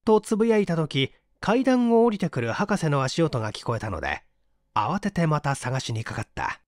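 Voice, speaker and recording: A man's voice: on the high side, very animated, moderate pace, no echo, studio quality. A man reading a mystery story aloud as scripted, dramatic narration. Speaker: audiobook narrator.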